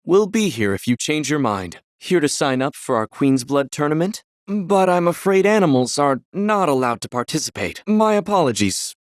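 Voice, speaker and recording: An actor playing a courteous, encouraging tournament clerk in a video game, formal and articulate. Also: male voice, on the high side, big pitch swings, normal speed, few pauses, no echo, studio quality. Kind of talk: scripted video game dialogue.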